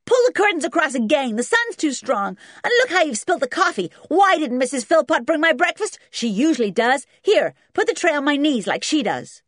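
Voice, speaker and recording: A woman voicing a spoiled, bossy young boy in a storybook, whining, demanding and shouting. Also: high-pitched, big pitch swings, moderate pace, few pauses, dry recording, clean studio sound, voice pushed up. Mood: outraged, bossy.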